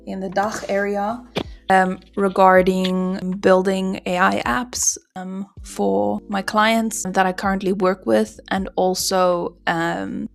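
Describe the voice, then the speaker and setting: A woman's voice: medium pitch, creaky. A woman talking through her own learning goals in a casual vlog, rambling with frequent restarts. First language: not German. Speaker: product manager vlogger. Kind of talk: casual vlog self-review.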